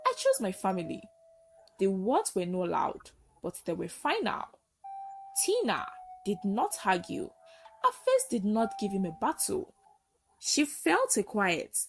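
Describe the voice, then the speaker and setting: A woman narrating a folktale as a storyteller, with earnest, expressive and dramatic delivery. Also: mid-range voice.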